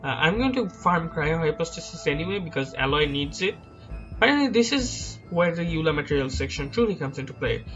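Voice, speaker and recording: A man's voice: a little high, animated, brisk, fairly bright tone, muffled recording. Casual, hedging young-sounding gamer man. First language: not Chinese. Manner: casual, self-deprecating, hedging with many uhs. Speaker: gaming YouTuber. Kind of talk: speculative game commentary over slides. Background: background music.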